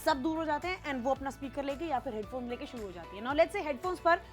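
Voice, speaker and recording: Chatty high-voiced woman selling a gadget. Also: animated, quick pace, faint music behind. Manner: chatty, mixes English phrases into Hindi. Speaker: home shopping presenter. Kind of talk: teleshopping sales chat.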